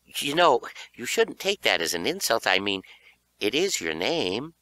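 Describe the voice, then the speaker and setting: A man's voice: medium pitch, big pitch swings, unhurried, sharp tone, low-quality recording. A man voicing a smart-mouthed, whiny young schoolboy in a children's audiobook. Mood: cheeky. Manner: cheeky, whiny, defensive.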